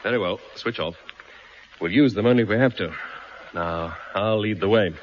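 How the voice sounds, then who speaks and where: An actor playing a calm, commanding space expedition leader in a vintage radio drama, with measured, formal phrasing. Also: male voice, lowish voice, natural voice. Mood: businesslike. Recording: dull, noisy old radio recording.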